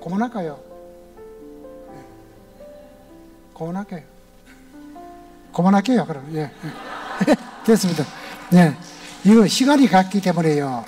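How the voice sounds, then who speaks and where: A man's voice: sits high, expressive, a little slow, pauses often, dark tone, soft background music. An older man with a Gyeongsang accent, a retired church elder giving a folksy, homespun personal testimony to a congregation in a large church sanctuary. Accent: Gyeongsang Korean.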